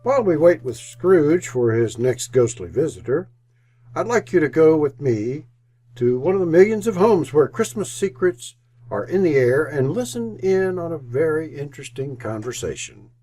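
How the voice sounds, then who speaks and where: A male announcer and narrator in old-time radio style. His voice is mellow and husky, swinging expressively through theatrical, scripted storytelling. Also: a little high, sing-song, normal speed.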